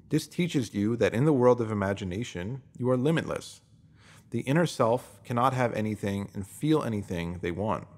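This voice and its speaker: A man narrating a scripted self-help text in an instructive, measured way, posing emphatic rhetorical questions. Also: fairly low pitch, a little mellow. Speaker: narrator reading a written post.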